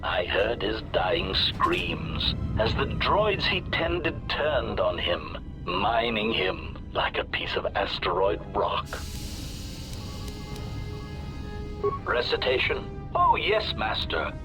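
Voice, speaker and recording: A courteous, smugly murderous protocol droid from a video game. The robotic voice is formal and pedantic, announcing each kind of statement, with very lively swoops in pitch. Music plays clearly behind it. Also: male voice, slow. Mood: coolly courteous. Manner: formal, pedantic, labels statements before saying them. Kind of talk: scripted video game dialogue.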